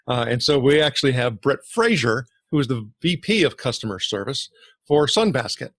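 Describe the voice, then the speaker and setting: A man's voice: medium pitch, very animated, moderate pace, a bit bright, dry recording, studio quality. A man hosting a podcast who talks in a loose, easygoing way and leans on "you know" a lot. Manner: filler-heavy, loose phrasing with "you know". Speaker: podcast host. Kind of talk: scripted intro then casual interview chat.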